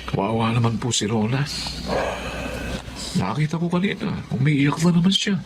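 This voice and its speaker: A man's voice: mid-range voice, expressive, moderate pace, noisy background, natural voice. A man playing a devoted, protective young older brother in a Filipino radio drama, speaking earnestly. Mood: concerned, protective. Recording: loud music underneath.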